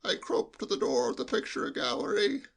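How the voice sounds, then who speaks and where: A man reading a story aloud and voicing a timid old male butler with a high, bleating, quavering voice and a slow, deferential, hushed way of talking. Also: lightly acted. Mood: timid, confiding.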